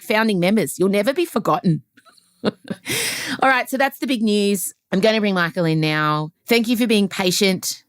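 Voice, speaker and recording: A woman's voice: on the low side, dry recording, clean studio sound. A woman hosting a podcast, talking animatedly and gushing with jokey asides, recorded on a laptop setup. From Australia. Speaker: podcast host. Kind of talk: casual podcast chat.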